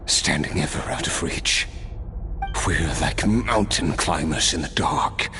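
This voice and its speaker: Very deep, slow, solemn cursed warrior. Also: male voice, lively intonation, pushed and bright, soft background music, heavily acted. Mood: solemn, reflective. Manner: slow, solemn, measured, poetic. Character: ancient cursed male warrior, weary, philosophical, tormented by power. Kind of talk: dramatic video game voice acting.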